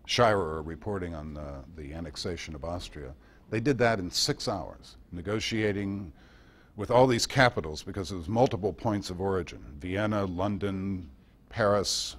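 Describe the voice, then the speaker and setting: A man with a fairly low voice speaks informally to a club meeting. He tells history in loose, conversational anecdotes and drops in wry asides as he goes. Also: normal speed. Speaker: guest speaker giving a history talk.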